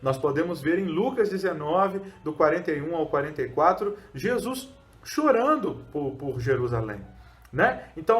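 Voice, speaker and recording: Brazilian pastor with a higher voice. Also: male voice. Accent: Brazilian Portuguese. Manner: preaching with rhetorical questions and fillers like "né". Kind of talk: short recorded sermon teaching.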